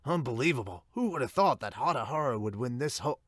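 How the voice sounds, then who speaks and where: An excitable sports announcer, put on by a man dubbing manga, calls golf with open amazement. The voice sits at a middling male pitch in a very dry recording. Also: fairly quick, dry recording. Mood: astonished. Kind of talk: amateur manga dub.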